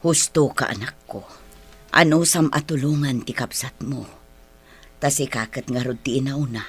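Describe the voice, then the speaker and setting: Long-suffering Filipino mother, Ilocano accent, low and husky, weary radio drama delivery. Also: normal speed, soft background music. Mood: tender, sad.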